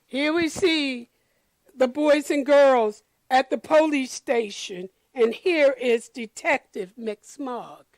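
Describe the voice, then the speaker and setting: A woman reading a children's picture book aloud expressively, deliberately addressing children and explaining the pictures. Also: high-pitched, no room sound, low-quality recording.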